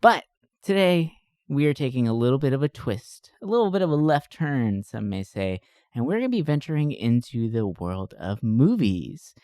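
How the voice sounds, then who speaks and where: A man's voice: mid-range voice, very animated, normal speed, darkish tone, no echo, clean studio sound. A man hosting a podcast in a casual, jokey, enthusiastic and chatty style. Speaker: podcast host.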